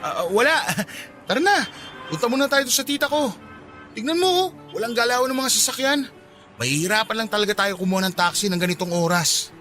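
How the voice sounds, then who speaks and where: A man playing a kind, persistent, bashfully smitten young office junior in a Tagalog radio drama, speaking gently and coaxingly. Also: sits high, lively intonation, a little fast, soft background music. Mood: insistent.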